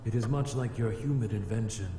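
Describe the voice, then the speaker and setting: Man voicing a deep, echoing, haughty fire demon. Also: brisk, warm tone, lightly acted. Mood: aloof, explanatory. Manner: formal, stiff, haughty diction. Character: solemn male fire demon, vengeful, aloof, easily flustered. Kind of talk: visual novel character acting. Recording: echoey reverb with music behind.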